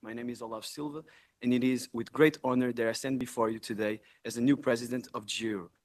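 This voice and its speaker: A young man from Portugal, the incoming president of a student network, giving an earnest, measured formal speech in a large hall. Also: mid-range voice, steady tone, darkish tone. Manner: earnest, measured, occasional self-corrections.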